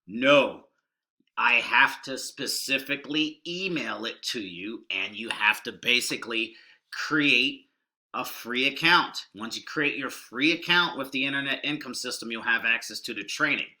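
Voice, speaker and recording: A middle-aged man with a very bright, forward tone chats loosely through a screen-share walkthrough. He holds back his big voice to talk softer than usual, and he rambles with a folksy, offhand manner. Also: mid-range voice, animated. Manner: rambling, folksy and deliberately subdued. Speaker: online marketing video host.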